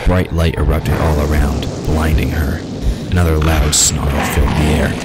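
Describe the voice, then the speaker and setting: A man's voice: rumbling low, little pitch movement, normal speed, loud music behind the voice. A man narrating a scripted fantasy audio drama. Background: ambient sound effects.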